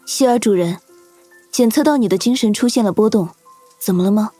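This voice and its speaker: A woman voicing a dutiful, literal-minded guardian spirit in an animated drama, polite and system-like in phrasing. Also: mid-range voice, fairly quick, dry recording, faint music behind, natural voice. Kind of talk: animated audio drama acting.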